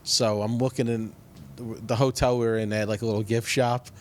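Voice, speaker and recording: A man's voice: medium pitch, level tone, brisk, a little mellow. A man from New Jersey with a New Jersey accent, hosting a podcast and telling loose, rambling anecdotes in casual chat. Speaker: podcast host.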